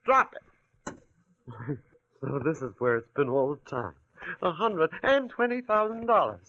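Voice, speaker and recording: An actor playing a greedy, jittery rancher, folksy and excitable, in an old radio drama. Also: male voice, fairly high pitch, unhurried. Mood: greedy, excited. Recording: muffled 1940s radio recording.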